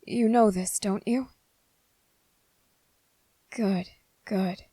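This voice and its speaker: Woman playing a lovesick male vampire, possessive, brooding, slow and deliberate, tender coaxing, close dry sound, ASMR roleplay. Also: medium pitch, fairly even intonation, dark tone, dry recording. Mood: devoted, quietly intense. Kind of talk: ASMR character roleplay, intimate scripted acting.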